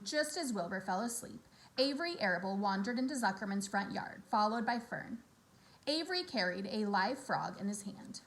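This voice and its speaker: A woman reading a children's novel aloud in an expressive storybook narration style, acting out the dialogue. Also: mid-range voice, low-quality recording. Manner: animated, acts out character dialogue.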